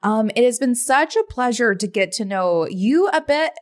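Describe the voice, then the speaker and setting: A woman's voice: fairly high pitch, expressive, normal speed. A woman hosting a podcast, reading intros and interviewing a guest in an upbeat, enthusiastic way. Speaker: podcast host. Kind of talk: casual interview and scripted ad reads.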